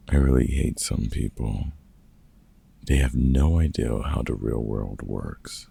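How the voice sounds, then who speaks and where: A man playing a gruff but kind-hearted veteran vampire hunter in a comfort audio roleplay, talking casually and swearing freely in a gravelly, husky voice. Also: extremely low pitch, warm tone, full character voice. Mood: grumbling, cynical. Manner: gruff, sweary, casual mentor talk with pauses.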